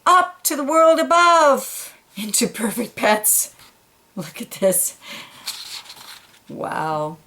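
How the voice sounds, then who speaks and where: A woman reading a children's picture book aloud, pausing for quiet asides about the pictures. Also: on the high side, big pitch swings. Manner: slow, gentle, pointing out pictures in quiet asides.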